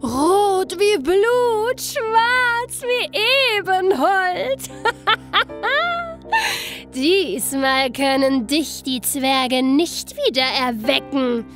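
A woman voicing a wicked, gloating fairy-tale queen in a drawn-out, theatrical manner. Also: very high-pitched, expressive, a bit bright, soft background music, voice pushed up, heavily acted. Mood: gloating triumph. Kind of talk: fairy tale audio play.

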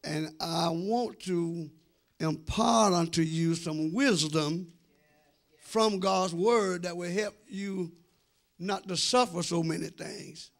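A man's voice: sits high, takes it slowly, gravelly. A middle-aged American man preaching a sermon emphatically, drawing out phrases and addressing his congregation directly. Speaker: senior pastor preaching. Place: church sanctuary before a congregation. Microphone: handheld microphone.

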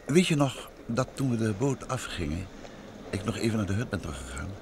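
A man acting a calm, inquisitive gentleman detective in an old Dutch radio drama. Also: fairly low pitch, fairly quick, creaky. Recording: noisy vintage radio drama recording.

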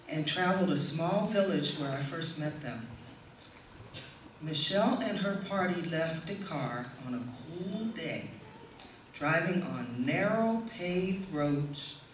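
A middle-aged woman giving a prepared testimonial speech to an audience in a measured, deliberate way. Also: low voice. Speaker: speaker giving a presentation. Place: indoor stage in a hall, before an audience. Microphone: stand microphone, heard from a distant camera. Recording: echoey hall, distant camera, faint noise.

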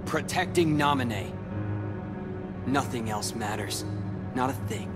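Casting: male actor for scornful brooding teen rival, cool and unhurried, game cutscene with music underneath. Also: medium pitch, natural voice. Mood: taunting. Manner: cool, taunting, deliberate. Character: cold, scornful, brooding teenage boy rival. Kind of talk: video game cutscene acting.

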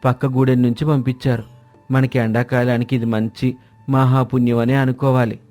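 A man's voice: medium pitch, little pitch movement, normal speed, a little mellow, faint music behind. A man reading scripted dialogue for village characters in a moral story. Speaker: story voice actor.

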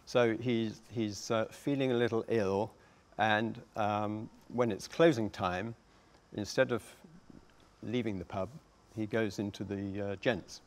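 An older man giving a halting, deliberate explanation on a discussion panel. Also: fairly low pitch, steady tone. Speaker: panellist, radio adapter. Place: theatre stage, seated panel. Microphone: lapel mic.

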